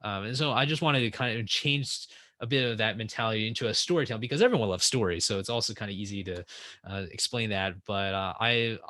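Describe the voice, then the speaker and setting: Bright-voiced man rambling with restarts. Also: fairly low pitch, quick pace, no echo. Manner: rambling, many restarts and fillers. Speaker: podcast guest. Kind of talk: casual conversation.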